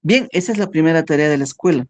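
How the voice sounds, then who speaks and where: A man giving a philosophy workshop lecture, talking in a halting way and checking in with his listeners all the time. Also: on the high side, expressive. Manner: slow, halting, with frequent "¿no?" and "¿ya?" checks.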